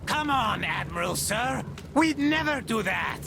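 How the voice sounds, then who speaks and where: An actor playing a servile yet cheeky little sidekick in a video game, with a high, creaky voice. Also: male voice, a little slow, noisy background, heavily acted. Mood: meek, obedient. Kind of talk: video game character acting.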